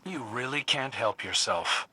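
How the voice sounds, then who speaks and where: A man's voice: mid-range voice, animated, measured pace, noisy background, lightly acted. A man playing a wry, loyal scout in a video game, talking in a casual, dry, earnest way. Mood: urgent, wry. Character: wry male scout, weary fallen prince, loyal and alert. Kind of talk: video game mission dialogue.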